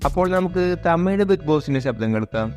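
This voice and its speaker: A man's voice: on the high side, animated, fast, darkish tone, soft background music. A man reading scripted narration for an online video.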